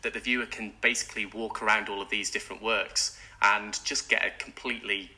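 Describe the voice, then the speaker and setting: A man's voice: lowish voice, steady tone, brisk, bright, forceful tone. A man who grew up with British Sign Language as his first language, talking casually as a studio guest and often hesitating with ums and restarts. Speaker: event organizer, guest. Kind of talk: casual interview chat. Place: radio studio.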